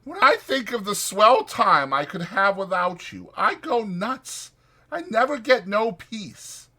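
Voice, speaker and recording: A man's voice: sits high, very animated, slow, bright, forceful tone, voice pushed up, full character voice. A man voicing a short-tempered, exasperated migrant ranch worker, scolding in slangy rural American working talk. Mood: bitter, exasperated.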